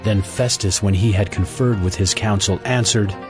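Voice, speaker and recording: A man's voice: on the low side, steady tone, moderate pace, music in the background. A man narrating scripture as the storyteller of a dramatized audio Bible.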